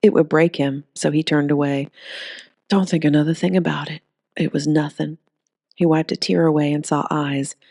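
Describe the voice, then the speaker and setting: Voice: mid-range voice, gravelly, darkish tone, dry recording, studio quality. An audiobook narrator reading a scripted story.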